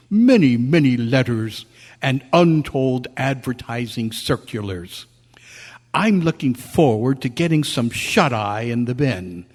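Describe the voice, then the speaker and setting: A man playing an earnest, weary military teddy bear colonel in a formal storytelling manner, as in a radio play. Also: mid-range voice. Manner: formal, deliberate first-person storytelling. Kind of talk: live old-time radio style dramatic reading. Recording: echoey hall with long reverb.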